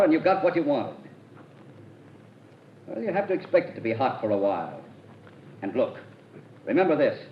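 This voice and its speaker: A man playing a curt, defensive rich young man talking on a telephone in an old radio drama. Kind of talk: 1940s radio acting. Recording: dull, roomy old radio recording with faint rumble.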